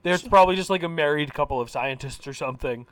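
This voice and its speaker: A man's voice: on the high side, quick pace, fairly bright tone, clean tone, no echo. A man co-hosting a podcast, reading out odd facts with dry humor in casual chat. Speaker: podcast co-host.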